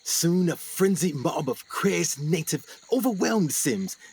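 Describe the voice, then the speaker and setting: A man acting as a haunted explorer doctor in a radio play, speaking intensely and theatrically with suspenseful pauses. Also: fairly high pitch, expressive, darkish tone, breathy edge, heavily acted. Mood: grim, anguished. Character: haunted 1920s male explorer doctor recounting jungle horrors.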